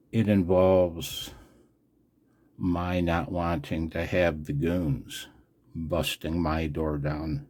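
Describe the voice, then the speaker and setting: An older man from the United States in a casual, unscripted vlog as a radio operator, blunt and wry with a gravelly, husky voice. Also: low-pitched, darkish tone. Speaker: amateur radio operator and video host. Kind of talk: casual, unscripted video commentary.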